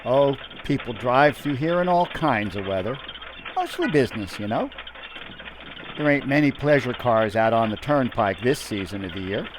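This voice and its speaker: An actor playing a chatty, plain-spoken gas station attendant with folksy, colloquial talk in a radio drama. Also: male voice, medium pitch, expressive, moderate pace, noisy background, close to an everyday voice. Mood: friendly, obliging. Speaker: supporting actor.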